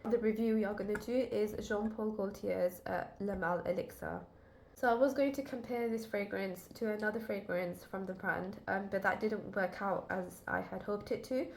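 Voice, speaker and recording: A woman reviewing a fragrance in a casual, chatty way, her voice still lower and duller than usual as it recovers. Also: fairly even intonation, moderate pace, creaky, a little hiss or hum. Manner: chatty, talks straight to viewers. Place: at her desk indoors. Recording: echoey room.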